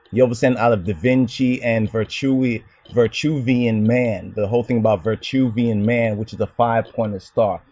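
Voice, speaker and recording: A man's voice: mid-range voice, a little mellow, no room sound, highs cut off. Lecturing man, dry and close. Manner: lecturing, rhetorical questions, repeats "you understand". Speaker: channel host answering a commenter. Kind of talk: argumentative commentary monologue.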